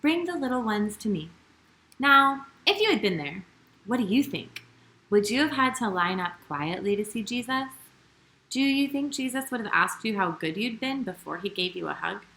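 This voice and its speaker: Woman, children's storytime host, very lively intonation, sing-song, bright tone, speaking straight to kids, roomy echo. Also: mid-range voice, normal speed. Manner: animated, sing-song, addressing children directly. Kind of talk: storytime talk and read-aloud for young children.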